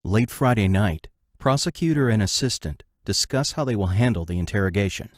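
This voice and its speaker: A man's voice: lowish voice, expressive, a little fast. A man narrating an audio drama from a script, reading out scenes and stage action.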